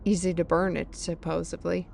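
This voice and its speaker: A woman's voice: lowish voice, level tone, moderate pace, gravelly, a little mellow, soft background music. A woman playing a knowledgeable fantasy companion, explaining lore in an earnest, wordy way in an audio roleplay. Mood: earnest, explanatory.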